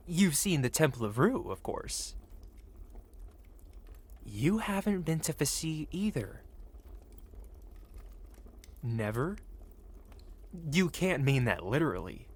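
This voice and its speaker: A man's voice: on the high side, heavily acted. Man playing a suave, courtly dragon patron. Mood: passionate, fascinated. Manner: formal, flowery, courteous speech addressed to one listener. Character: possessive, wealthy dragon merchant patron, male, suave and courtly. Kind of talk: ASMR roleplay, intimate romantic fantasy audio drama.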